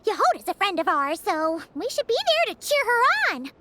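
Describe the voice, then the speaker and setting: A woman voicing a tiny, childlike, excitable fairy companion in an anime video game, squeaky and eager. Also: moderate pace, noisy background. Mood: eager, cheering. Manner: exclamatory, eager.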